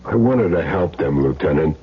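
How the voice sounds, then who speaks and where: A man's voice: a bass voice, animated, moderate pace, breathy edge, heavily acted. An American man acting a cagey small-time hired gun in an old radio crime drama, streetwise and earnestly insisting he is clean. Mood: earnest, self-justifying. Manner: streetwise, plain slangy talk, earnest self-justifying. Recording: dull, noisy old radio recording with hum.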